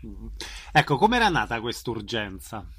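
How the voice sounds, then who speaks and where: A man's voice: fairly low pitch, very animated, moderate pace, pushed and bright, a little hiss or hum. A man hosting a conversational radio interview, putting questions and comments to his guest.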